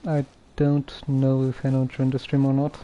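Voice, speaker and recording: A game streamer giving casual live commentary in a hesitant, halting, subdued way. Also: mid-range voice, completely flat delivery, warm tone, lo-fi sound, a little hiss or hum.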